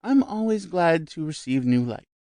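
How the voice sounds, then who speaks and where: A man voicing a calm, solemn young woman with a dry, ironic wit, lifted well above his own pitch. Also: normal speed, no echo, natural voice. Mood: grave.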